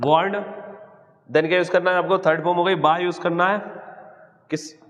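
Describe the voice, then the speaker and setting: Indian male teacher, higher-pitched, question-and-answer lesson delivery, dull recording in a very reverberant room. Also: fairly even intonation, moderate pace, fairly bright tone. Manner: repetitive, prompting questions then answering them himself. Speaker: English grammar teacher. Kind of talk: classroom lesson, explaining step by step with questions. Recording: echoey hall, dull muffled lo-fi sound.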